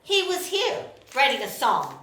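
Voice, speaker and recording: A woman puts on a crotchety, meddling old landlady for a script reading. The voice is bright and animated with big pitch swings, in an echoey room. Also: a little slow, exaggerated voice. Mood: nosy, insistent. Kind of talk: live screenplay table read. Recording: echoey room, faint noise.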